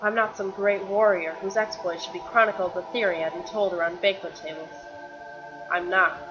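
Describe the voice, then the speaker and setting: A woman's voice: medium pitch, fairly even intonation, moderate pace, muffled recording, over music, close to an everyday voice. A woman playing a weary, guilt-ridden warrior and reluctant champion, telling her story earnestly in a fan-made fantasy drama. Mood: bitter, frustrated. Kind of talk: dramatic dialogue in a fan-made motion comic.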